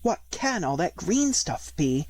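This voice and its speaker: A woman's voice: on the low side, very animated, measured pace, darkish tone, breathy edge, full character voice. A woman voicing a polite but indignant, puzzled little girl in a storybook, earnest and protesting. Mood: puzzled, alarmed. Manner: earnest, protesting, hesitant when unsure.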